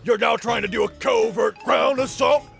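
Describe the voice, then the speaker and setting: Man as a gravelly, forceful, irritable fruit vendor. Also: extremely high pitch, moderate pace, faint music behind, heavily acted. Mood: paranoid, indignant. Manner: blustering, indignant. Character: irritable, paranoid egg-laying animal-person fruit vendor. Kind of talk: anime parody dub.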